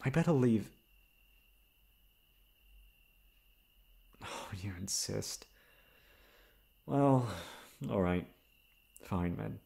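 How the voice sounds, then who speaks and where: A shy young male classmate, acted by a man for an ASMR roleplay. His voice sits fairly low. He is sweet-natured and easily flustered, with halting, stammered phrasing. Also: expressive, creaky, warm tone, heavily acted. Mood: hesitant, sleepy. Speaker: voice actor. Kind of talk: ASMR roleplay acting, one-sided dialogue.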